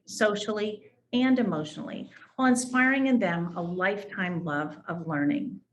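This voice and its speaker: A woman's voice: medium pitch, moderate pace, a little hiss or hum. A woman educator giving an explanatory presentation on a school program.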